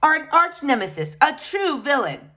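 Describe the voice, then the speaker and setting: Indignant storybook girl, raised pitch, emphatic and accusing played by a female reader. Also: very animated, normal speed, pushed and bright, exaggerated voice. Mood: defiant. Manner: emphatic, declamatory. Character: defiant, indignant young girl, bluntly accusing.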